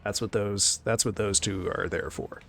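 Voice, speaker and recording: A man chatting casually on a live stream, excitable and thanking viewers, his voice getting hoarse. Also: on the low side, normal speed, slight background noise. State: losing his voice.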